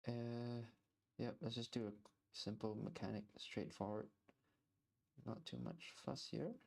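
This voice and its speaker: A man's voice: on the low side, steady tone, a little slow, vocal fry, darkish tone. A young man streaming while he draws, chatting casually and rambling, often repeating words as he thinks. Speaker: artist streaming while drawing. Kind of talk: casual live-stream chat.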